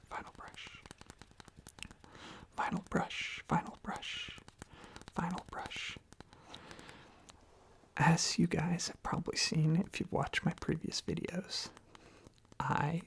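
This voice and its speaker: Super breathy husky whisper, asmr rambling right up on the mic, crackly fry, repeats stuff softly. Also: medium pitch. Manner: rambling, soft, repeats phrases slowly. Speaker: ASMR video creator. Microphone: close ASMR microphone.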